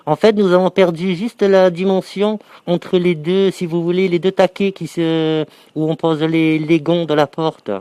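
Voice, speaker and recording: A man's voice: high voice, steady tone, unhurried, few pauses, dark tone, clean tone, dry recording. A man on a phone call posing as a company manager, explaining himself insistently and repetitively. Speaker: prank caller posing as window company manager. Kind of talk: phone conversation, improvised prank.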